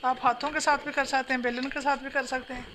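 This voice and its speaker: A woman calmly explaining a home recipe step by step while she cooks. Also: sits high, fast talker. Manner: calm, instructional, talks while working. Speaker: home cooking channel host. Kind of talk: step-by-step cooking instructions. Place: home kitchen. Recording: noisy home phone recording.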